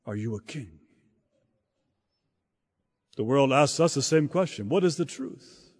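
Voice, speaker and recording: A man preaching a sermon in a slow, halting delivery, often repeating words as he searches for the next phrase. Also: medium pitch, animated, breathy edge. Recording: long hall reverb.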